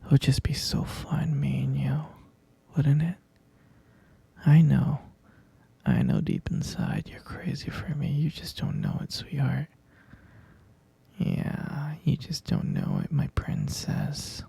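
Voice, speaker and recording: A man voicing an obsessive, possessive young captor in an ASMR roleplay, whispering softly and calling the listener pet names. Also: mid-range voice, sing-song, slow pace, no room sound, full character voice. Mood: obsessive, doting. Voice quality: gravelly whisper.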